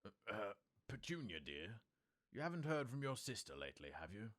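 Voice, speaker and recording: A man's voice: fairly low pitch, very animated, a little fast, a bit bright, voice pushed up. A man voicing a meek, nervous middle-aged suburban husband in an audiobook, hesitant and mumbling. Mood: nervous, coaxing.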